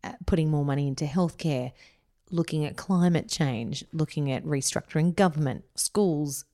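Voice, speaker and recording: A woman in her thirties co-hosting a podcast in casual, chatty talk, laughing often. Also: normal speed. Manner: chatty, laughs often, expressive swings in pitch. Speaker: podcast co-host. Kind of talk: casual chat.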